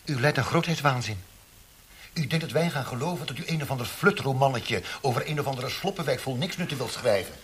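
An actor playing a menacing, sneering government interrogator in a Dutch radio play, mocking and theatrical, with deliberate threats. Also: male voice, medium pitch, expressive, a bit bright, lightly acted. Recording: hissy old radio play recording.

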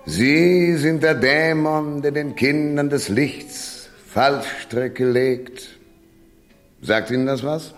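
An actor playing a wry, tough hardboiled private detective, narrating and trading dry banter in a radio noir. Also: male voice, a little high, slow pace, faint music behind. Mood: sardonic probing. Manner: sardonic, dry first-person narration and sharp banter.

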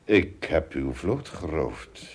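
A man's voice: extremely low pitch, big pitch swings, takes it slowly, full character voice. A man playing a good-hearted shipwrecked giant traveler in a radio play, hesitant and given to thinking aloud. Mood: earnest.

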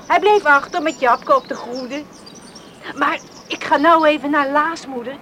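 A high-voiced, obliging young farm daughter, plain in the way she talks. The recording is that of an old radio play, noticeably noisy. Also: a little slow, bright, forceful tone. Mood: matter-of-fact. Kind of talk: radio drama acting.

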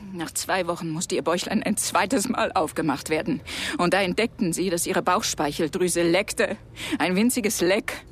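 An actress playing a grieving, guilt-ridden mother in a radio drama, speaking haltingly as if confessing. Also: on the low side, slight background noise, lightly acted. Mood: bitter, anguished. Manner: halting, confessional, emotionally strained. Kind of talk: German radio drama acting.

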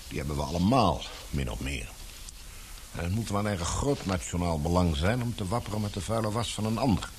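An actor playing an authoritative older newspaper baron in a radio play, brisk, patronising and fatherly. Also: sits low, a little mellow, a little hiss or hum. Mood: lecturing. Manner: commanding, patronising. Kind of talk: Dutch radio play acting.

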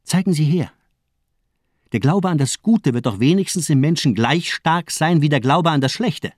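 Casting: radio drama villain, a zealous, mocking doctor; animated, swooping delivery, insistent and rhetorical, close dry sound. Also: male voice, fairly high pitch, normal speed, no echo. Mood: cold fervour.

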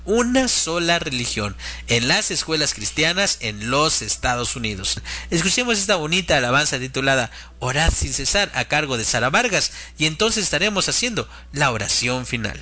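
Bright-voiced man giving devotional talk. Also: fairly high pitch, big pitch swings, moderate pace. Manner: didactic, addresses listeners as friends and brothers. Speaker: religious radio host and preacher. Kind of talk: devotional teaching talk and prayer.

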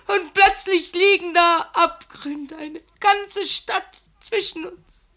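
An actress playing a tender, desperate abandoned mistress in a 1950s German radio drama, halting, tearful and pleading into a telephone. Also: female voice, very high-pitched, fairly even intonation, pushed and bright, heavily acted. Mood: anguished.

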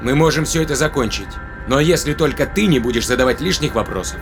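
An actor playing a grimly determined spaceship captain in a science fiction audio drama, blunt, terse and commanding. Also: male voice, medium pitch, lively intonation, fast talker, music in the background, natural voice.

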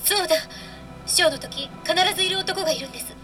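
An actress playing a frightened, uncanny young fashion model in therapy, in a radio drama. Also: female voice, extremely high pitch, fast, pushed and bright, faint music behind. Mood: anxious, fearful.

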